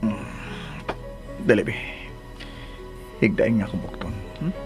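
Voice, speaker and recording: An actor playing a soothing, apologetic young husband in a radio drama, placating and a little hesitant. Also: male voice, lowish voice, animated, measured pace, over music. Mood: coaxing.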